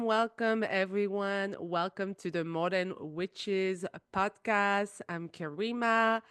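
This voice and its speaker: Chatty woman cohost, gushing, smooth clear voice, dry close sound. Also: mid-range voice, a bit bright, dry recording. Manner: effusive, chatty, with restarts. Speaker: podcast co-host. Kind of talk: casual chat.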